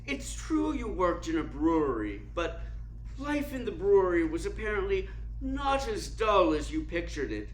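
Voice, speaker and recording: An actor playing a suave, cynical and domineering theater critic in a radio play, speaking in a cold, precise, imperious way. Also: male voice, sits high, big pitch swings, moderate pace. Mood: menacing, exposing. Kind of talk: dramatic radio play acting. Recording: roomy echo with clear background noise.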